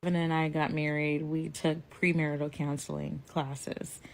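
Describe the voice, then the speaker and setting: A woman's voice: deep voice, flat delivery, normal speed, vocal fry. An American woman in her thirties explaining relationship advice from personal experience directly to viewers.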